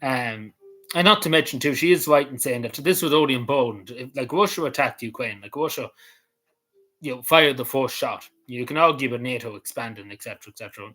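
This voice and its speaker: Man, casual vlog commentary, rambling, hesitant, lots of fillers, mid pitch. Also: a little fast, bright, forceful tone. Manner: rambling, hesitant, many fillers and restarts, chuckles often. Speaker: vlogger.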